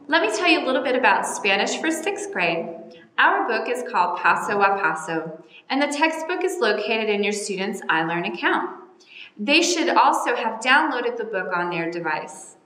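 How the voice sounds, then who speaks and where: A woman from North Carolina, a teacher, giving a measured, orderly recorded welcome message to parents. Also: mid-range voice, sharp tone. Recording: echoey room with long reverb.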